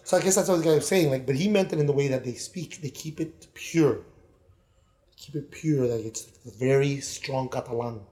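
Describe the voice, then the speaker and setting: A man talking casually on a podcast in a mellow, warm voice. He rambles a little and restarts his sentences often, and sometimes puts on voices to quote people. His pitch sits in an ordinary middle range. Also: moderate pace. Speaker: podcast co-host. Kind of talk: casual chat. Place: Miami.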